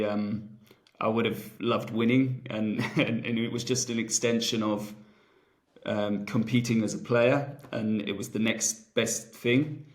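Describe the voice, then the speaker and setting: Male coach, 30s, level even delivery, loose and chatty. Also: mid-range voice. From Wales. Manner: relaxed, many fillers and restarts, thinks aloud. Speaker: rugby coach, guest. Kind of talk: casual interview, long rambling answers.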